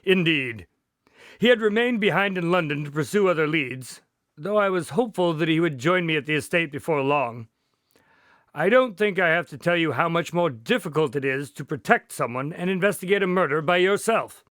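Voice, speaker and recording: Lively, higher-pitched gentleman doctor acted by a man. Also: dry recording, studio quality. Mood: composed storytelling. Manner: polite, measured, formal phrasing. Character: courteous male doctor and investigator recounting a case. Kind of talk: radio drama acting.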